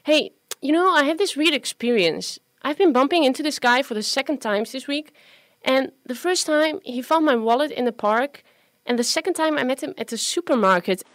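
A woman's voice: sits high, animated, normal speed, a bit bright, no echo, clean studio sound. A woman playing a friendly, chatty, self-doubting young woman in an amateur radio play, with a hesitant, natural delivery. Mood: chatty, embarrassed.

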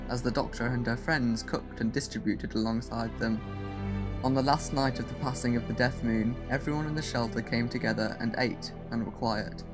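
A man's voice: mid-range voice, lightly acted. A man narrating a scripted science fiction audio drama as its storyteller.

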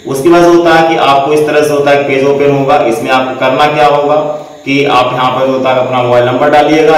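A man's voice: on the high side, recorded from a distance. A young man hosting a screen-recorded tutorial, talking the viewers through a website step by step and using the same filler phrases over and over. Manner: repetitive filler phrases, addresses viewers as students. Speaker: education channel host. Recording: echoey room, voice off-mic.

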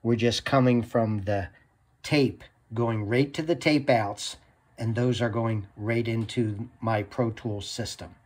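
A man with a mid-pitched voice narrates a tutorial at a slow, measured pace. He is plainspoken and takes the listener through instructions one step at a time. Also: fairly even intonation, darkish tone. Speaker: tutorial host.

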